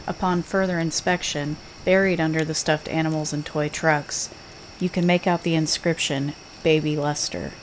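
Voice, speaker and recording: A woman podcast co-host who reads a spooky second-person tale slowly and hushed, then chats and giggles with her sister. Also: sits low, a little hiss or hum, poor audio. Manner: slow, hushed storytelling, then giggly chatter. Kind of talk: scripted spooky narration and casual chat.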